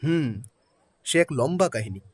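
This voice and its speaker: A man's voice: medium pitch, expressive, a little slow, slightly rough, dry recording. A man playing a guarded middle-aged man who tells a sad family history in a somber, reluctant way. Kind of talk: audio drama acting. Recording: faint background music.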